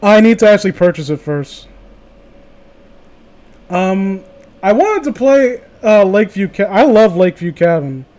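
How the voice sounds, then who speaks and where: A man with a high-pitched voice chats casually about games as a streamer. He rambles through his thoughts in loose, conversational phrasing and curses freely. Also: very animated, a little slow, pauses often, darkish tone. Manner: profane, rambling. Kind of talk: casual gaming chat.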